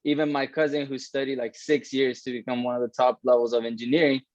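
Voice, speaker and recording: A young man in his twenties, from Iran, talks loosely, swears often and tags his sentences with "right?". His voice sits at an ordinary pitch. The recording sounds dull and lo-fi, with a dry, close feel. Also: little pitch movement, fast, darkish tone, no room sound. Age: 20s. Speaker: co-host. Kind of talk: casual chat.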